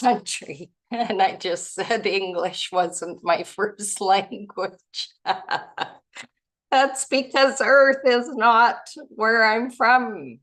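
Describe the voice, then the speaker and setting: A woman from Canada leading a spiritual study group, reading passages aloud and musing on them gently. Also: fairly low pitch. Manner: gentle, musing, softly laughing at herself.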